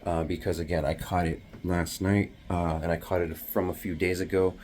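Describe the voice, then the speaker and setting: A man with a very deep, low-pitched voice explains things in a hushed, half-whispered way, stumbling through fillers and restarts. He talks into a phone in a small bathroom at home. A faint hum of background noise sits under the voice. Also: little pitch movement, moderate pace. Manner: rambling, many fillers and restarts; hushed, half-whispering to avoid waking others. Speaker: delivery driver vlogger. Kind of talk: casual explainer vlog.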